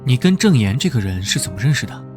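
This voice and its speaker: A man playing an earnest police detective who gets flustered and jealous in private, in an animated drama. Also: mid-range voice, fast, natural voice. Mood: official, calm. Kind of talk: animated drama voice acting with inner monologue. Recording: loud background music under the voice.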